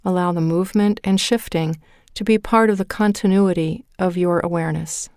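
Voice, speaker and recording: Woman, meditation guide, lowish pitch, clear smooth voice, calm measured delivery, scripted narration. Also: dry recording, clean studio sound. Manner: calm, slow, measured, with deliberate pauses.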